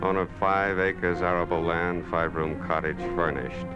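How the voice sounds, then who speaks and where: A man acts out an officious, chilly regime clerk reading records in a radio drama. Loud music plays along with his low voice. The old recording sounds dull. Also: fairly even intonation, normal speed, lightly acted. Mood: cold, officious. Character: cold, officious male communist bureaucrat reading records. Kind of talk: 1950s radio drama acting.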